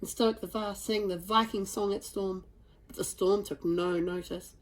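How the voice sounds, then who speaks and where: Expressive woman reading a children's story. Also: medium pitch, normal speed. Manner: expressive, dramatic emphasis. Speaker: storybook reader. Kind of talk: children's story read aloud.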